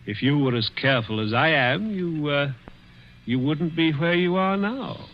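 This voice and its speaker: A man's voice: a little high, normal speed, a little hiss or hum, natural voice. An actor playing a cruel, dying rich man who taunts with sly chuckles in a 1940s radio drama. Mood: menacing. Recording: dull old radio broadcast.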